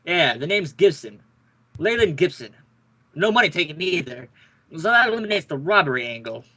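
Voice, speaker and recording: A man's voice: on the high side, very animated, normal speed, bright, forceful tone, exaggerated voice. A man playing an exasperated, grumbling police lieutenant, sarcastic and blustery, in an old-time radio detective drama. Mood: businesslike.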